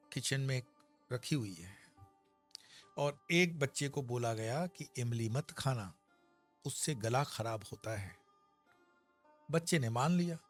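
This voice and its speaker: A man's voice: fairly high pitch, fairly bright tone, dry recording. A man giving an emphatic spiritual discourse on a scripture, explaining verses with homely examples and scolding asides. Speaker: spiritual teacher. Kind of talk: Gita discourse.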